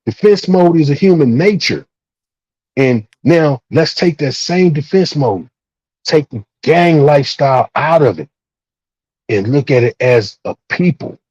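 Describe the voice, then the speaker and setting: Husky, mellow man in casual debate. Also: a little high, expressive. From Texas, United States. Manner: emphatic, repetitive, halting phrasing. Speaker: discussion participant. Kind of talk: casual, profane panel discussion. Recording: dull, roomy, muffled lo-fi audio.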